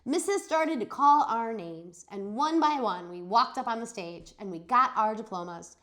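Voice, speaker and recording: A woman teacher giving an expressive read-aloud of a children's story, acting out the dialogue. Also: on the high side, moderate pace. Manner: animated, dramatizes dialogue. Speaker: teacher reading a chapter aloud for students.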